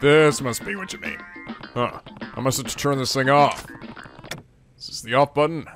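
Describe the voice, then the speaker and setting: A man hosting a game let's play, reacting excitably and laughing often. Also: medium pitch, moderate pace, faint music behind. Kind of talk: gaming commentary.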